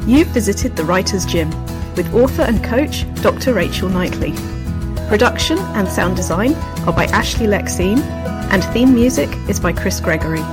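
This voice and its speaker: A woman's voice: medium pitch, lively intonation, moderate pace. A woman reading a scripted podcast outro as an announcer. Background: music.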